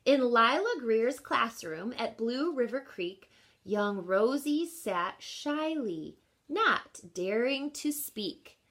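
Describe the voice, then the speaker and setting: Animated, high-voiced woman reading to children. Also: takes it slowly. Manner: encouraging, addresses children with questions and explanations. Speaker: reading teacher reading a picture book aloud. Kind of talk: expressive children's read-aloud with teaching asides.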